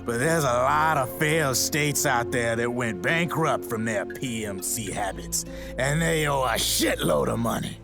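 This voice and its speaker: An actor playing a slick, jaded gun launderer in a video game cutscene, with slangy, folksy showmanship. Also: male voice, mid-range voice, unhurried, gravelly. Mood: animated, grandiose. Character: slick, jaded male gun launderer, former child soldier. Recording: loud music under the voice.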